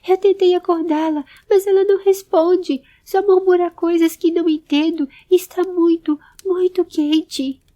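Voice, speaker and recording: A woman's voice: very high-pitched, steady tone, measured pace, hardly any pauses, warm tone, pitched above the performer's own voice. A woman voicing an anxious, pleading young male companion in an audio drama. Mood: alarmed. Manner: urgent, distressed, pleading. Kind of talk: audio drama character acting.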